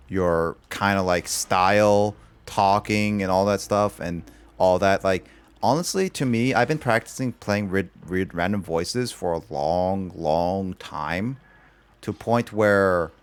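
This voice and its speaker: Low-voiced rambling streamer guy. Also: smooth. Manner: rambling, loaded with "like" fillers. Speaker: livestreamer. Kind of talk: casual livestream chat.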